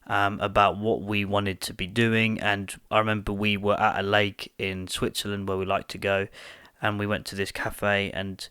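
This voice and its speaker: A young man co-hosting a casual podcast chat at home, thoughtful and hesitant, slightly croaky from a cold. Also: lowish voice, flat delivery, normal speed, hardly any pauses, pushed and bright, no echo, clean studio sound. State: hoarse from a cold. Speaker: podcast co-host. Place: small music room at home.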